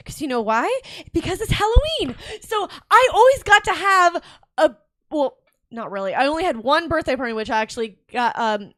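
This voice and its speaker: High, bright-voiced woman in casual chat. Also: no echo, studio quality. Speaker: cosplayer and show panelist.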